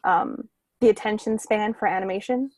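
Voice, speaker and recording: A woman's voice: mid-range voice, moderate pace, a little mellow, dry recording, clean studio sound. A woman guest on a podcast, an artist, chatting informally and with some self-deprecation about herself. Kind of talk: casual interview chat.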